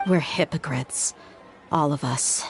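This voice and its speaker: A woman playing a candid, reflective young female mercenary in a video game, speaking in an earnest, measured way. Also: sits low, soft background music, low-quality recording. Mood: pensive.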